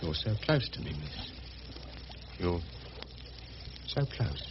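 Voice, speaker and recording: A muffled, noisy radio drama recording of a humble riverboat man. His lowish voice rises and dips freely as he talks in a halting, homely, deferential way. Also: sing-song, heavily acted. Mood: hesitant, tender. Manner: colloquial, halting, deferential, calling his companion miss. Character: rough, humble, good-hearted working-class riverboat man.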